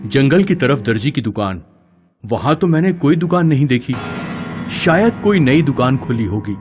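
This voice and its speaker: A man playing an emphatic, anxious village husband in an animated story. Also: medium pitch, moderate pace, music in the background, natural voice. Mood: puzzled.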